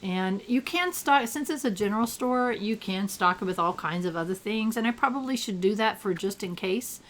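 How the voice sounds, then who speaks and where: Older woman, gravelly and a bit rough in the throat from allergies, casual chatty gameplay commentary, mid-pitched. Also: lively intonation, normal speed. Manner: chatty, thinks aloud, cheers at the game.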